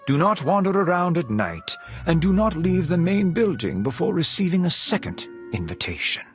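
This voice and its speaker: A man's voice: high voice, big pitch swings, normal speed. A man playing a courteous, guarded manor butler with formal, deferential speech in a game cutscene. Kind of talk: video game cutscene dialogue. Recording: music behind the voice.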